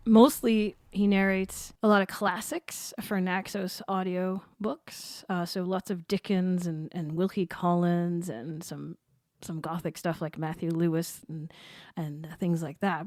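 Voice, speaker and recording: A woman's voice: lowish voice, a little mellow, no room sound, clean studio sound. A woman contributing to a podcast, informative and casual with hesitant fillers. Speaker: guest contributor profiling a narrator.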